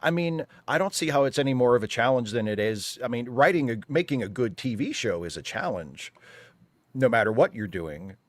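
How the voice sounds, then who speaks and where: A man's voice: mid-range voice, lively intonation, fairly quick, no room sound. A man giving opinions in a casual panel discussion, emphatic and often rephrasing as he argues. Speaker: panel guest on a live fan show.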